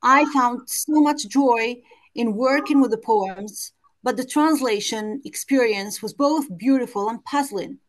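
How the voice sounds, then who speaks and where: A woman presents an academic paper over a video call. Her voice sits a little high, and she reads in a careful, measured way, sometimes stopping to restart a word. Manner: careful, measured reading with occasional hesitant restarts. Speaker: conference presenter. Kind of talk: reading a prepared academic paper. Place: home or office on a video call.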